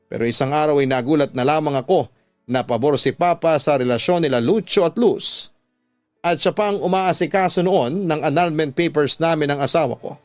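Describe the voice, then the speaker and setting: A man's voice: a little high, a little mellow, no echo. A man narrating a dramatic first-person story as the host of a radio drama. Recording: close dry studio sound over music.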